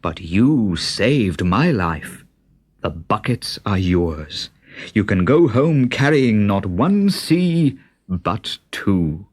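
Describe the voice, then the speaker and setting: A man's voice: fairly low pitch, big pitch swings, very slow, darkish tone, full character voice. A man voicing a grand, grateful, wounded desert king in a storybook, with emphatic, declaiming speech. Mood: solemn, generous.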